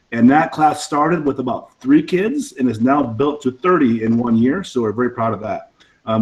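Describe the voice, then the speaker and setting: Hoarse man presenting school electives. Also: mid-range voice, a little mellow, rough recording. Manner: listing school programs with many ums. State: hoarse, losing his voice. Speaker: assistant principal.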